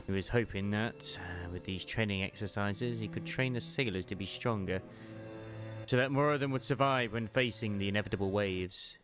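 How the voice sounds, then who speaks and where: A man with a fairly low voice narrates a scripted story. The delivery is that of dramatic fan-dub storytelling, with music clearly playing behind him. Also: normal speed, fairly bright tone.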